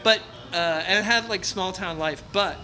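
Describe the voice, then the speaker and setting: A man in his fifties from New Jersey, with a somewhat high-set voice, telling his story in a loose, halting way. He is loud and brash by his own account and pokes fun at himself, and there are lots of stops and restarts as he searches for words. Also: over music. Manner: self-described loud and brash, halting with many restarts, self-deprecating humor. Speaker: interview guest. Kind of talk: casual interview conversation.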